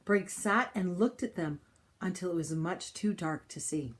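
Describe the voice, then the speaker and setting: A woman reading a children's picture book aloud in an expressive style, with deliberate, dramatic phrasing and pauses. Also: fairly low pitch. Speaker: storybook reader.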